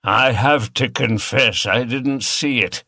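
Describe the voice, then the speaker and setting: A man plays a tired older male detective boss in a mystery audiobook. He is mild and good-humoured, middling in pitch, and recorded very dry. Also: slow pace, bright, forceful tone, no room sound, heavily acted. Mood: sheepish, drowsy.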